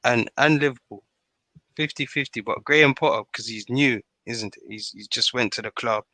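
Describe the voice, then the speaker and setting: A man's voice: medium pitch, normal speed, sharp tone, no echo. A man giving casual, colloquial football opinions as a podcast guest.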